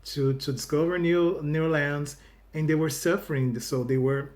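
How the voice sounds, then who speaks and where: A man with a Brazilian accent chats casually to his channel in English, a second language after his native Portuguese. His voice sits a bit high for a man. He talks in stops and starts, often repeating words before he finishes a thought. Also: steady tone, a little mellow. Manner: halting, restarts phrases, fills with "you know". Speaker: YouTube reaction host. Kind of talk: casual video chat to viewers.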